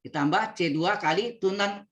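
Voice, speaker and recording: A man's voice: sits high, normal speed, fairly bright tone. A male lecturer, walking students through a calculus problem on a video call, repeating each step and often checking in with them. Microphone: computer mic on video call.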